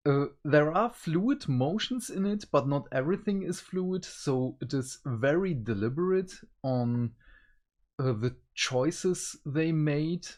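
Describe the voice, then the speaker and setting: A man's voice: on the high side, lively intonation, takes it slowly, a little mellow. A man co-hosting a review show in casual chat, speaking hesitantly as he searches for words. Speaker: co-host of an animation review show.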